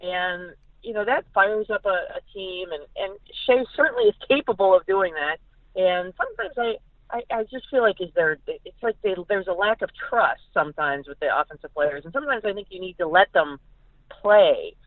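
A woman's voice: mid-range voice, animated, moderate pace, dry recording. An American woman giving casual, emphatic sports analysis as a guest reporter, often repeating words for emphasis. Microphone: phone call. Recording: thin, narrow phone-line sound.

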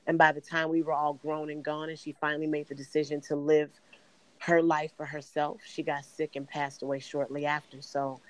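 A raspy middle-aged woman from Chicago speaks in an earnest, measured way as a podcast guest. Her pitch stays level and even, with little rise or fall. She tells her story steadily and without hurry. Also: no echo, low-quality recording. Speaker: interview guest, singer. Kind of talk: podcast interview.

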